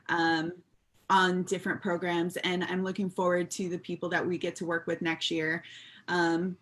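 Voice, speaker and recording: A woman giving an unscripted update on a group video call, with hesitant pauses between thoughts. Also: on the low side, sharp tone.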